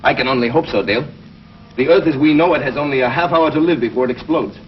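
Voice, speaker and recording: An actor playing an earnest, learned scientist from the future in 1950s television science fiction, speaking in a deliberate, explanatory, professorial way. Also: male voice, mid-range voice, close to an everyday voice. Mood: thoughtful, earnest. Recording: noisy, dull 1950s television soundtrack.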